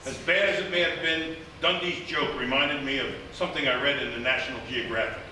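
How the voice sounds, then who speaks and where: An actor playing a cocky, take-charge small-town police chief in a live comedic radio play. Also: male voice, mid-range voice, fairly quick, pushed and bright. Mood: confident, scheming. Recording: echoey live theatre from far off, faint noise.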